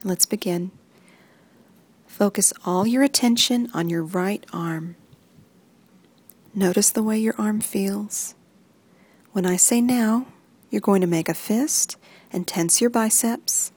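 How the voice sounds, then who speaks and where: A woman reading a guided muscle relaxation script in soft, even instructions that often sink into a whisper. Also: medium pitch, slow, pauses often, husky, dry recording.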